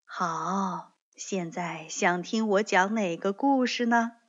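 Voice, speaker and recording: A woman voicing a kindly, forgiving old grandmother bear in a children's story, speaking gently and softly. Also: mid-range voice, dull audio. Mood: gentle, fond. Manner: gentle, slow, soft-spoken.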